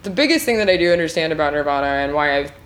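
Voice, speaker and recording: A woman's voice: sits low, lively intonation, very fast. A woman from Washington state co-hosting a podcast, telling stories in chatty casual talk full of "like" fillers. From Washington state, USA. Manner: chatty, filler-heavy storytelling. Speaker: podcast co-host. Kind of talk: casual chat.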